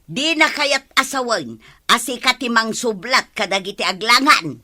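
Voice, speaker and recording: A woman's voice: fairly high pitch, animated, unhurried, sharp tone, heavily acted. A woman playing a nagging, exasperated village wife in an Ilocano radio comedy drama. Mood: scolding.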